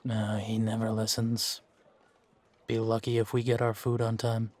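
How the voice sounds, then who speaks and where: A man playing a gruff, world-weary fantasy monster hunter in an audio roleplay, wry and aloof, with a laconic, deadpan way of talking. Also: on the low side, unhurried, no room sound. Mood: resigned. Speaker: voice actor in fan-made audio roleplay. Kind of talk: slow in-character audio roleplay.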